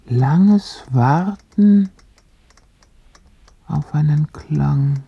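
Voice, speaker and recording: Woman reciting radio drama poetry real slow, husky breathy voice, muffled sound. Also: low-pitched, no room sound. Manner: slow, hushed, reflective delivery. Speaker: narrator.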